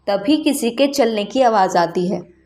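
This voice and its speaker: A woman's voice: a little high, animated, measured pace, a little mellow. A woman reading scripted narration for a children's story as the storyteller.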